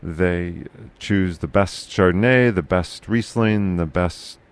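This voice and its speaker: A man hosting a casual local podcast chat, with a loud, carrying voice, talking haltingly with many fillers and restarts. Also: low voice, clean tone. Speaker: podcast host.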